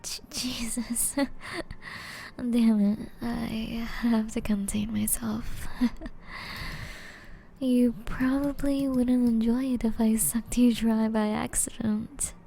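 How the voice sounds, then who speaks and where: Slow, breathy, dark-voiced seductive vampire woman acting. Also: fairly high pitch, fairly even intonation, a little hiss or hum, rough recording. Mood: hungry, savoring. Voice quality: breathy, often dropping into whispers. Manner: slow, teasing, old-fashioned endearments. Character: flirtatious, seductive female vampire, possessive and teasing. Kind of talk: intimate ASMR roleplay.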